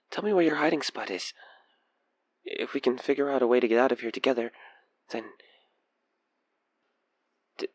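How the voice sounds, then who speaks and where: A man acts out an obsessed killer in an intimate audio drama. He speaks slowly in a medium-pitched male voice, halting and breathy, manipulative and coaxing. The sound is close and dry. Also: no room sound. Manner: hesitant, halting, breathy sighs and whispers sliding into menace. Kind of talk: intimate audio roleplay acting addressed to the listener.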